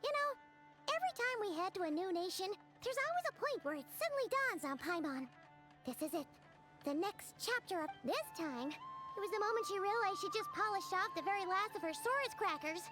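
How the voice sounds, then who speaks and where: A woman voicing a tiny, excitable, childlike fairy companion in a video game, chirpy and squeaky, referring to herself in the third person. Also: brisk. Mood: wistful. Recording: background music under the voice.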